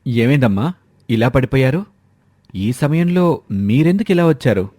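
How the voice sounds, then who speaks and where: Man acting village farmer, cartoon story, earnest, reassuring, rustic, mellow, dry recording. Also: medium pitch, lively intonation, normal speed, no room sound, pitched above the performer's own voice, lightly acted. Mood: concerned. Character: poor village farmer guarding a pond, reassuring.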